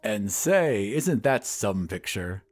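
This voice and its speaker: A man voicing a huge, jovial, self-important male doctor in a booming, hearty manner for an audio drama. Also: big pitch swings, takes it slowly, soft background music, full character voice.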